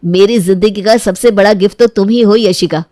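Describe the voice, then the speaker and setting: A woman's voice: medium pitch, moderate pace, warm tone, dry recording, natural voice. Woman voicing an earnest young boyfriend, dry sound. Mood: affectionate. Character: young man, earnest, evasive, career-minded boyfriend. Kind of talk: cartoon moral-story dialogue.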